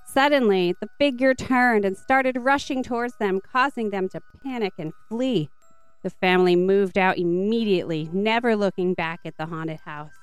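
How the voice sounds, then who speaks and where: A woman narrates scripted children's stories as a podcast host. Her voice is remarkably crisp and smooth, at a middling pitch. It is recorded very dry, with music underneath. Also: dry recording. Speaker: podcast host and story reader. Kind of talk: scripted read-aloud storytelling for children.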